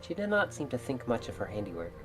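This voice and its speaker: A woman's voice: rumbling low, sing-song, fast, music in the background. A woman voicing a proud, blunt, aloof mermaid in a fairytale visual novel, with formal, stately phrasing. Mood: wistful. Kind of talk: visual novel character read-aloud.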